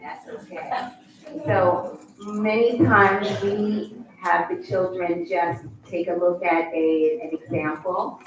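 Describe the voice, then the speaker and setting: A woman's voice: on the low side, fairly even intonation, takes it slowly. A woman kindergarten teacher patiently coaxing young students through a classroom demonstration at a school board meeting. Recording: echoey room, distant mic, background noise.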